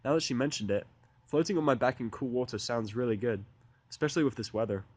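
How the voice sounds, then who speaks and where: A man's voice: medium pitch, fast talker. A man reading a first-person story as an amateur audiobook narrator, in a casual, sardonic style.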